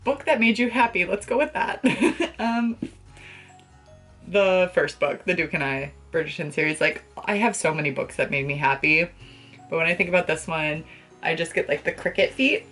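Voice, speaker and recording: Low-pitched young woman, expressive book vlogger. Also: normal speed, soft background music. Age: young adult. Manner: expressive, gushing, emphatic. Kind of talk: casual chat to camera. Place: small bedroom, indoors.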